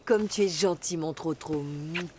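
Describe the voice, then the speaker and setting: A woman voicing a gentle, doting mother donkey in a preschool cartoon, speaking tenderly. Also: sits low, a little slow, background noise.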